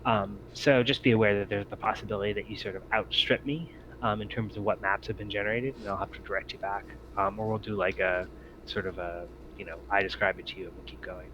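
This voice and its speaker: A man's voice: lowish voice, steady tone, quick pace, a bit bright. A man acting as game master, speaking to players about a tabletop roleplaying game over a group voice call. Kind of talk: casual explanation and scene narration for a tabletop game. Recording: muffled lo-fi voice chat, clear noise.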